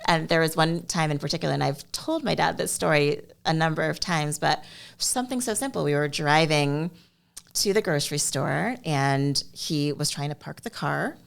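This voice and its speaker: A woman from Connecticut, a surgeon and podcast guest, telling stories from her life in a relaxed, reflective way with light laughs. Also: low-pitched, moderate pace, gravelly. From Connecticut, USA. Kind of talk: casual interview chat.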